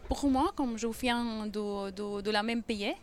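A woman's voice: a little high, slow. A woman from Syria, a native Arabic speaker with a Syrian accent, asking questions conversationally and hesitantly, rephrasing as she goes. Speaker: interviewer.

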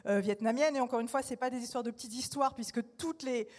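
A woman's voice: fairly high pitch, quick pace, darkish tone. A woman artist speaking on a panel discussion, hesitant with many fillers but emphatic in her points.

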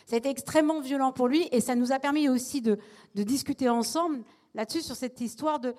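A woman's voice: high-pitched, fast, a little mellow. A woman theatre director speaking on a panel discussion, earnest, often restarting her phrases. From not from Marseille, lives there.

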